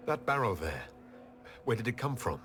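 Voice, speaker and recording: A man's voice: medium pitch, big pitch swings, moderate pace, a bit bright. An actor playing a serious, direct, diplomatic male elf leader in a video game. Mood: serious, inquiring.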